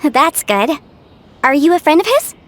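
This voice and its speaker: An actress playing a caring, lively older sister with a brisk way of talking. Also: female voice, sits high, slight background noise, full character voice. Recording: game soundtrack behind.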